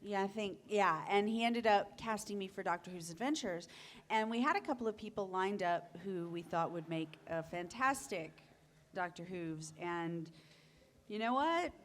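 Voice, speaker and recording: A woman's voice: medium pitch, animated, normal speed. Woman telling a casual panel story. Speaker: voice actress on a fan panel. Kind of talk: casual anecdote to an audience. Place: convention panel room, indoors. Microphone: handheld microphone.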